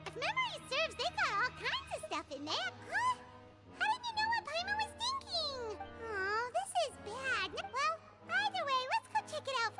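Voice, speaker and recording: A woman voicing a small, excitable, childlike flying girl companion in a video game, with a squeaky voice and exclaiming manner. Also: normal speed, soft background music, heavily acted. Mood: animated. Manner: speaks of herself in the third person, exclaiming. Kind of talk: English video game voice acting.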